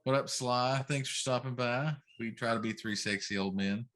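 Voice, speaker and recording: Middle-aged man, talk show host, medium pitch, emphatic griping, casual chat. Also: normal speed, a bit bright. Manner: ranting, emphatic complaining. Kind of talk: casual chat about video games.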